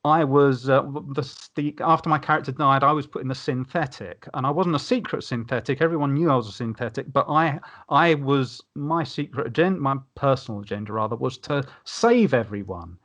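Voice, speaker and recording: A man's voice: medium pitch, fairly quick, hardly any pauses, fairly bright tone. A man chatting casually on a podcast, recounting game anecdotes in a rambling way that circles back to his point. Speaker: podcast guest reviewing a tabletop game.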